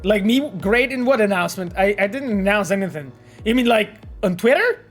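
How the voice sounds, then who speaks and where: A man's voice: high voice, fairly quick, soft background music. A man with a Polish accent chatting casually on a live stream as he reads out viewer messages, rambling with lots of fillers and repeated words. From Poland. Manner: animated and rambling, with frequent fillers, repeats and laughs. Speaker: game developer streaming and reading chat.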